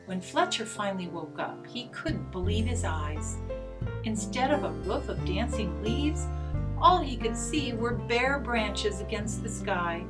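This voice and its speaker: A woman reading a children's story aloud, with expressive, gentle and deliberate narration. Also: medium pitch. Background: guitar music.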